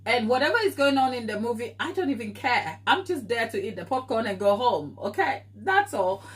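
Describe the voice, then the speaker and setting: A woman's voice: fairly high pitch, very animated, a little fast. Chatty Ghanaian woman baking on a livestream. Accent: Ghanaian with English influence. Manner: chatty, tangent-prone, reads and answers viewer comments. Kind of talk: casual live-stream chat while baking.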